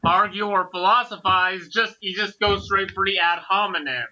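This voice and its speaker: Casting: male co-host, piercingly bright delivery, opinionated casual chat, lo-fi sound. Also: high voice, normal speed. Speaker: co-host commentator. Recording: dull, lo-fi voice recording.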